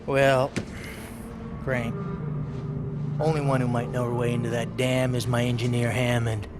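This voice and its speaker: A man's voice: medium pitch, level tone, a little slow, over loud music, natural voice. A man acting as a menacing folksy raider boss. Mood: wary, threatening. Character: menacing backwoods male raider leader, aggrieved and folksy. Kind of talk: video game cutscene acting.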